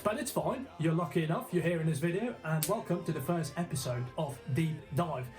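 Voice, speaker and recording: Man, slightly high voice, colloquial video host, emphatic explainer delivery, music behind. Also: fairly quick, darkish tone. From Slovakia. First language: Slovak. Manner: emphatic, colloquial, rhetorical questions. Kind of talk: informal explainer narration to camera. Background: background music.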